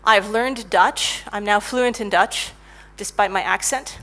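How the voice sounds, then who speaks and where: A middle-aged woman giving a conference talk about technical writing, lively and self-mocking as she tells stories. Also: medium pitch, measured pace. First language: English. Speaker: conference speaker, technical writer.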